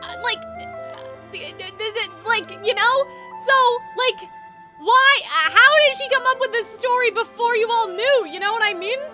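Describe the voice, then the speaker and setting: A woman's voice: very high-pitched, normal speed. A woman giving chatty, rambling, reactive commentary while streaming a game. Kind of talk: casual live gameplay commentary. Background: music.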